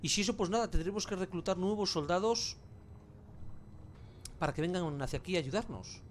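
A man's voice: high voice, brisk, slight background noise. A man with an accent from Spain giving casual, colloquial gameplay commentary with mild swearing. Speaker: gamer, let's play host.

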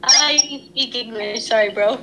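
A woman with a high, sharp voice chats casually through a walkie-talkie app. The transmission has a light layer of noise. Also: a little slow. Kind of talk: casual chat. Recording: slightly noisy walkie-talkie app audio.

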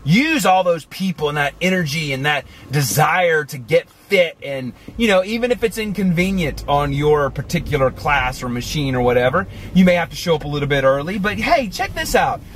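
A man in his forties talking casually to the camera from inside a car, animated and chatty, with many asides. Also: on the high side, normal speed, few pauses, a little hiss or hum. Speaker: vlogger. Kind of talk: casual motivational talk to camera. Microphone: camera on the dashboard.

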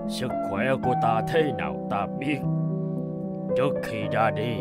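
A man's voice: medium pitch, unhurried, loud music behind the voice, close to an everyday voice. An actor playing a proud, fatherly old king in a cartoon fairy tale, with a stately, declamatory manner. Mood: weak, solemn.

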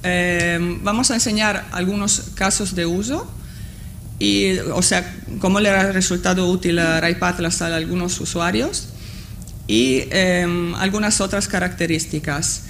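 A woman's voice: on the low side, steady tone, a little slow, fairly bright tone, a little hiss or hum. Italian-native woman instructor, 30s, hesitant and filler-heavy, conference table mic. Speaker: course instructor. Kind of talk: tutorial presentation. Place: conference room, seated at panel table. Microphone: table microphone.

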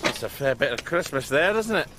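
Noisy game audio carries an actor as a middle-aged British father, blustering and defensive. His fairly high male voice swings widely in pitch as he argues with stubborn, emphatic force. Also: lively intonation, brisk, close to an everyday voice. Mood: chatty. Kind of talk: dramatic video game acting.

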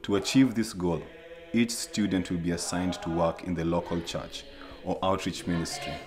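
Deep-voiced man narrating over music. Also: moderate pace, a little mellow. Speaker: promotional narrator. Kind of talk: scripted narration. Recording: music bed underneath.

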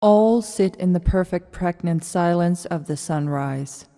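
Radio drama narrator: actress, woman, low mellow voice, calm, long reverb. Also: a little slow. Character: female narrator reading stage directions, calm observer. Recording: long reverberant hall echo.

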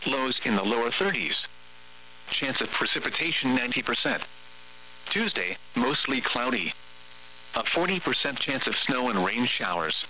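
A synthetic man's voice, extremely bright and crisp-edged, reads a weather forecast like an automated radio announcer. The delivery is formal and measured, at a medium pitch. A faint hum runs under the radio broadcast. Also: sing-song, low-quality recording. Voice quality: synthetic text-to-speech voice. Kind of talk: scripted weather forecast reading.

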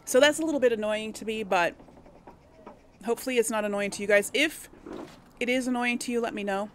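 A woman's voice: on the high side, fairly quick, slight background noise. A woman giving casual, chatty gameplay commentary as a let's play host, explaining things and correcting herself as she goes. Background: video game ambience.

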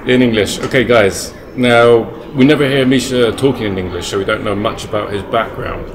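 A man's voice: medium pitch, normal speed. A man hosting an interview on a sofa in a big indoor hall, asking friendly, direct questions. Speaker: interviewer and channel host. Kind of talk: casual interview. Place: large bright indoor hall, seated on a sofa. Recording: noisy room with air conditioning.

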